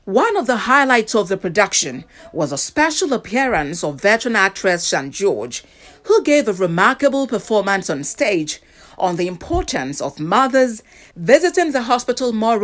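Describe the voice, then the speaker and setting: A woman news reporter with a Nigerian accent narrates a scripted feature. Her intonation moves up and down in a lively way, at a medium pitch. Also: moderate pace.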